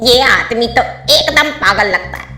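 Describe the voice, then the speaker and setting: Bright, echoing woman as a mischievous ghost woman. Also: fairly high pitch, expressive, a little slow, soft background music. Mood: puzzled, uneasy. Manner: taunting, theatrical, gloating. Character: mischievous gleeful female ghost who loves scaring travellers. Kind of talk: Hindi cartoon moral story voice acting.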